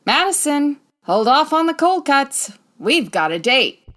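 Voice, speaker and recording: A woman playing a quick-witted, breezy female private detective with a wry, commanding manner in a 1940s-style radio comedy. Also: high voice, very animated, a bit bright, full character voice. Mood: breezy, confident.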